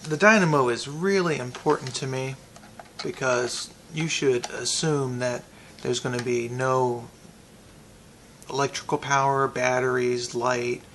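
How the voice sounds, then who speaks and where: A man giving a casual, unscripted product review, thinking aloud in a halting way with pauses. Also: mid-range voice, creaky, a bit bright, a little hiss or hum.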